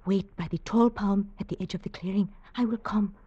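A woman's voice: medium pitch, steady tone, a little fast, warm tone, slightly rough, no echo, lightly acted. An actress playing a frightened young island woman who whispers in broken English, in a 1940s radio drama. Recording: dull old radio recording.

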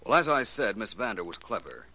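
An actor playing a suave, confident American detective in 1940s radio drama style, speaking deliberately and with assurance. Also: male voice, fairly low pitch, big pitch swings, pushed and bright, a little hiss or hum, heavily acted, rough recording. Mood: assured explaining. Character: confident, shrewd male private detective explaining a solved case. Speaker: lead actor.

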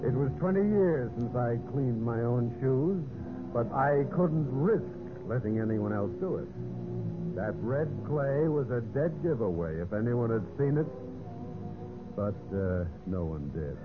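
An actor playing a vain, scheming general manager in a golden-age radio drama, with a smug, boastful, smooth way of talking. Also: male voice, mid-range voice, animated, unhurried, narrow, tinny audio, lightly acted. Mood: smug narration. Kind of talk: 1940s-50s radio drama acting, narration and dialogue. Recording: band-limited vintage radio, music behind.

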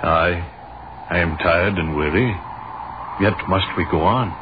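An actor playing a plain-spoken, patient and resigned American soldier with an American accent in a 1930s radio drama. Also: male voice, deep voice, measured pace. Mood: weary, resolute. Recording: muffled 1930s radio recording with hiss.

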